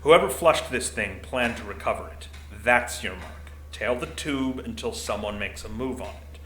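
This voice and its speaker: Guy doing a gruff desk-bound security boss, audio drama, blunt n dry, bright voice, echoey w bit of hiss. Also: mid-range voice, normal speed, natural voice. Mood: stern. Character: gruff paunchy desk-bound security commander, middle-aged man. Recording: roomy echo, faint noise.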